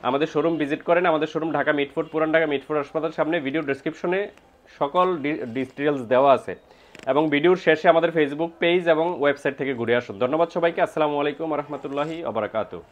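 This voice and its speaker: A man with a Bangladeshi accent giving an informal, explanatory product demonstration and sales pitch, pausing and repeating phrases as he goes. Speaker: medical equipment seller and presenter.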